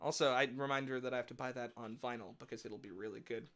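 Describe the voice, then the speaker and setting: A musician chatting casually between songs, rambling through quick asides with little laughs. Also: medium pitch, steady tone, fairly bright tone, slight background noise. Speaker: musician performing a live set.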